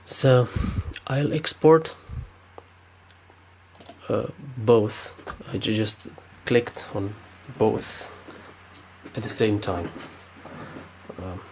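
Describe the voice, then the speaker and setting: A man's voice: on the low side, expressive, drawn-out words, vocal fry, long pauses, a little mellow, slight background noise, poor audio. A man with a Romanian accent, speaking unscripted with many fillers and restarts while demonstrating something at his desk. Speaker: tech video maker.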